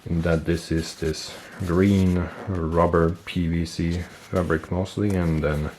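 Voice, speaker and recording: A man with a stuffy nose, casually explaining military gear on camera, relaxed and thinking aloud with fillers and self-corrections. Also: low voice, slow pace, slight background noise. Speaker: military gear collector and video host. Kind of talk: casual show-and-tell explanation.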